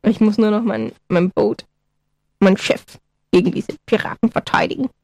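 Woman, husky, cold-stricken co-host, giggly and hesitant. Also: medium pitch, normal speed, darkish tone, dry recording. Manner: giggly, hesitant, clears throat often. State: has a cold, slightly hoarse. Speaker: podcast co-host. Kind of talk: casual chat.

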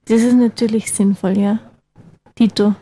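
A woman's voice: medium pitch, fairly even intonation, moderate pace, mellow, slightly rough. A young woman, hosting a campus radio show in casual, teasing chat. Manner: chatty, teasing, laughs often.

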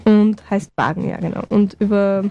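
A woman, co-hosting a casual chat while she has a cold, giggling and pausing to clear her throat. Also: medium pitch, steady tone, lo-fi sound, faint music behind. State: has a cold, slightly hoarse. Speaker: podcast co-host.

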